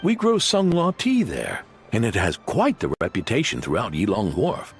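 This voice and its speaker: A jovial, devout old grandfather for an animated game. His lowish voice swings wildly and theatrically, grumbling yet generous, over faint music. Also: normal speed. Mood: warm, effusive. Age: older. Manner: theatrical, effusive.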